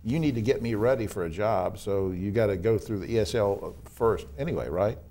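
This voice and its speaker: A man's voice: fairly low pitch, a little fast, warm tone. A man in his sixties hosting a conversational TV interview in a folksy, enthusiastic manner. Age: 60s. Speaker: TV talk show host.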